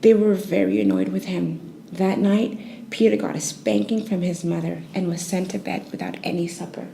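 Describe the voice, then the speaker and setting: Mellow woman telling a bedtime story. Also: fairly low pitch, steady tone, normal speed, gravelly, a little hiss or hum. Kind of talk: soothing scripted bedtime story narration.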